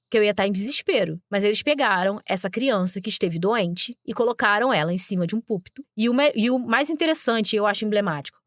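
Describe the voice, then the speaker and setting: A woman's voice: on the high side, no room sound. Sarcastic woman host, very dry sound. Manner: sarcastic, emphatic. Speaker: podcast host. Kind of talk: critical commentary on clips.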